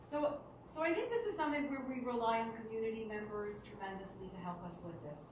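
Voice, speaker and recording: Woman parks staffer, friendly and explanatory, slightly high voice, distant mic in a very echoey hall. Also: animated, fast, noisy background. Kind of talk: answering at public meeting.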